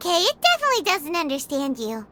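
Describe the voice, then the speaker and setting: A teeny squeaky fairy buddy for a game, super high, perky n bouncy, loud music behind. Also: female voice, wildly swooping pitch, a little fast, exaggerated voice. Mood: puzzled, concerned. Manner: squeaky, childlike, eager. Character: tiny chirpy childlike fairy companion, earnest and perky. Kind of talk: video game voice acting.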